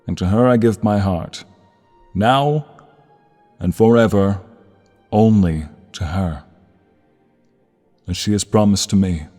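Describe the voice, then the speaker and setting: A man's voice: lowish voice, slow pace, pauses often, warm tone. A man playing a solemn, devoted king in a romantic fantasy audio drama, speaking in stately, tender declamation. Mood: proud, solemn. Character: solemn, devoted, loving young king addressing court and bride. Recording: long hall reverb, music underneath.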